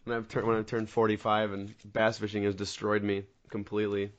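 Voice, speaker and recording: A man's voice: lowish voice, fairly quick. A man in his mid-twenties co-hosting a casual chat, telling rambling stories with laughs. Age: 20s. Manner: rambling, animated storytelling with laughs. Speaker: podcast co-host. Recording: dull lo-fi audio.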